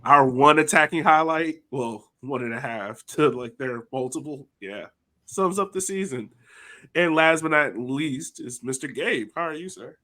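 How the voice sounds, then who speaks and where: A man's voice: a little high, unhurried, bright, forceful tone. Casual joking male podcast host. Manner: loose, profane, joking, lots of fillers. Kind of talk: casual sports podcast chat.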